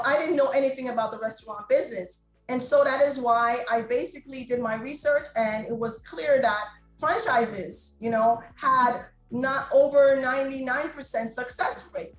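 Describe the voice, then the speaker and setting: High-voiced woman from Jamaica, chatty. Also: normal speed, few pauses, roomy sound, faint music behind, low-quality recording. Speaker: restaurant owner and guest. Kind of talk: interview conversation.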